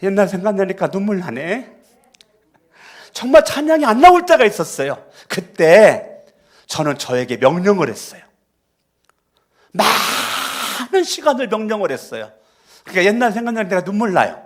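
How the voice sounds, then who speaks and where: A man preaching a sermon as pastor in a church, moving between quiet storytelling and loud, fervent exhortation. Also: sits high, big pitch swings, normal speed, long pauses. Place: church sanctuary.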